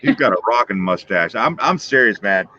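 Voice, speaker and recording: A man's voice: on the low side, animated, moderate pace, pushed and bright, highs cut off, a little hiss or hum. A man in his fifties hosting a livestream, chatting loosely and joking with viewers. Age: 50s. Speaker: livestream host. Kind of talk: casual chat with viewers.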